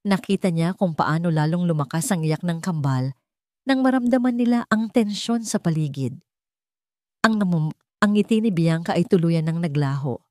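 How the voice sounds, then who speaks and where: Female narrator, Filipino accent, lowish register, dry studio sound, scripted drama storytelling. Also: normal speed, warm tone, no room sound.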